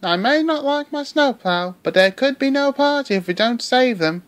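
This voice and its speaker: A woman's voice: high voice, expressive, moderate pace, dry recording. A woman playing a cheerful little tank engine. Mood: eager. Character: cheerful eager young male tank engine. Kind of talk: fan audio drama. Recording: loud background music.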